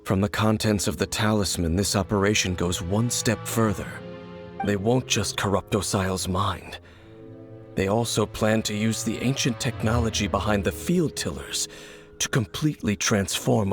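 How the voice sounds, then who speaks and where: A guarded, mysterious wandering swordsman, played by a man, with a low voice and a measured, solemn delivery. He keeps his answers short and quietly cryptic, over faint game music. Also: natural voice. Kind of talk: video game voice acting.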